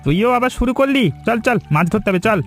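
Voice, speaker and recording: Man playing teasing, lively young fisherman buddy. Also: very high voice, fairly quick, faint music behind. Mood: encouraging. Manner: playful, chummy. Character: teasing, loyal young male fisherman friend. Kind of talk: acted cartoon story dialogue.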